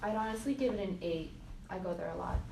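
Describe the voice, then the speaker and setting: A woman's voice: fairly low pitch, fast, gravelly. A 21-year-old woman answering casually in a focus group, with fillers here and there. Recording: echoey room, distant noisy mic.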